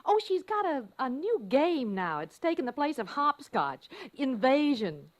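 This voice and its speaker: A woman's voice: high-pitched, very animated, a little slow. An actress playing an indulgent, motherly housewife in a vintage radio drama. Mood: chatty, pleased. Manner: motherly, indulgent, hesitant at times. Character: indulgent, thoughtful housewife mother, gently amused. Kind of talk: 1950s radio drama acting. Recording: vintage old-time radio recording.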